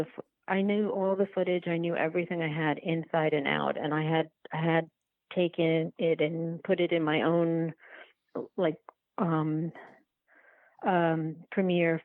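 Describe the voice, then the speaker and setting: A woman's voice: low-pitched, dry recording. A woman talking casually as an interview guest, often restarting and repeating her words as she explains her filmmaking. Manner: halting, repetitive, self-restarting storytelling. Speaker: podcast guest, documentary filmmaker. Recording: tinny, phone-like line.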